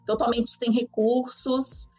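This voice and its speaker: A woman's voice: fairly high pitch, flat delivery, slow pace, darkish tone, husky, faint music behind. A woman from Argentina with a southern Brazilian accent, a podcast guest telling her story candidly and with feeling. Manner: emotional, candid storytelling with fillers. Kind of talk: casual chat.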